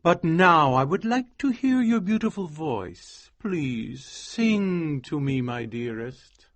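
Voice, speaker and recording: A man voicing an anxious, obsessive male scientist who is devoted to his wife, speaking slowly and intensely. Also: a little high, animated, a bit bright, no echo, voice pushed up, exaggerated voice. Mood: earnest tender.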